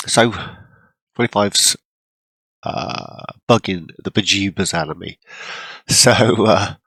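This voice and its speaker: Casual gaming host with a cold: deep, gravelly, slow and unhurried, on a dry recording. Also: male voice, fairly bright tone, dry recording, low-quality recording. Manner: rambling, self-deprecating, frequent throat clearing. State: congested from a cold, coughing. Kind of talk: casual gameplay commentary.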